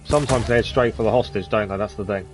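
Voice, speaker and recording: A man's voice: lowish voice, quick pace, soft background music. A man in his thirties giving live gameplay commentary, chatting with viewers and muttering at enemies as he plays. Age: 30s. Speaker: streamer.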